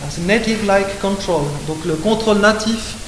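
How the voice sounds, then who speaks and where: A man's voice: high-pitched, moderate pace. A man from Switzerland giving an academic lecture, explaining points with frequent hein and euh and correcting himself as he goes. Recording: echoey room with faint hiss.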